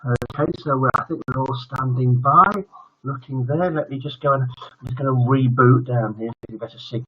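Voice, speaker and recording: Husky, rambling older man. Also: medium pitch, normal speed. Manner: rambling, jokey, often trailing off. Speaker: host of a children's bedtime story livestream. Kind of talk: casual livestream chat with viewers. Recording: dull lo-fi livestream audio.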